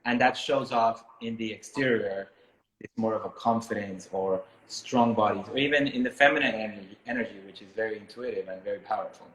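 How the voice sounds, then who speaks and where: A man from Miami talking casually and reflectively as an interviewed artist, thoughtful and halting as he searches for words. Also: lowish voice, fairly bright tone, a little hiss or hum. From Miami, United States, born in Ecuador. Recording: echoey room sound.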